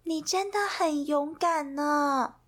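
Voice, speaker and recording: A woman voicing a kind storybook friend who speaks gently and reassuringly. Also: very high voice, expressive, measured pace, pitched above the performer's own voice, exaggerated voice.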